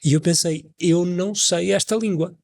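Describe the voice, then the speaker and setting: A man's voice: high-pitched, steady tone, moderate pace, gravelly, a little mellow. A man hosting a casual panel conversation, telling anecdotes and asking long, winding questions with frequent restarts. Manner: rambling, restarts, shares anecdotes.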